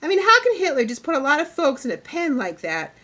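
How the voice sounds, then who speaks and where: A woman voicing a puzzled, indignant country child in an audiobook, questioning loudly in a folksy way. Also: wildly swooping pitch, a little fast, heavily acted. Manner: loud, high, folksy questioning.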